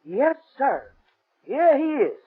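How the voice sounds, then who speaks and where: Very high-pitched Southern rustic. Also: male voice, big pitch swings, takes it slowly, heavily acted. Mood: excited. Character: excitable rustic Southern gas station man, amateur detective. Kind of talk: 1930s radio acting. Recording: muffled, tinny 1930s radio recording.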